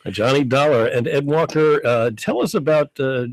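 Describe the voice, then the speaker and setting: A man's voice: mid-range voice, unhurried, dry recording, rough recording. A middle-aged man hosting a radio show, interviewing conversationally on a close studio mic. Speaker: radio host. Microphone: close studio condenser mic.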